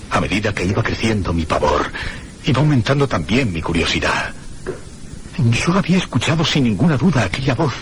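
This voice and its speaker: An actor playing a frightened man who recounts a night of eerie terror in a suspenseful, theatrical radio drama narration with deliberate pauses. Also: fairly low pitch, background noise. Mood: fearful resolve.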